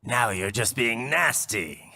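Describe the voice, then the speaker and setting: An actor playing a grim, vengeful cyborg mercenary in a video game, with a deep gravelly rasp and growled delivery. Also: male voice, expressive, slow, pushed and bright, big-room sound, exaggerated voice. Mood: menacing. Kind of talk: dramatic video game voice acting.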